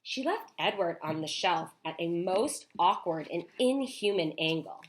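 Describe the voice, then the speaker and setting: A woman teacher reading a storybook aloud to children, expressive, with chatty asides. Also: on the low side, measured pace. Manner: animated, with chatty asides to young listeners.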